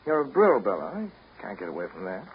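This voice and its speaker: An actor in a 1930s radio drama playing a weary, tender but blunt man, measured and wry. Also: fairly low pitch, very animated. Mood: tender, concerned. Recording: dull, noisy 1930s radio broadcast recording.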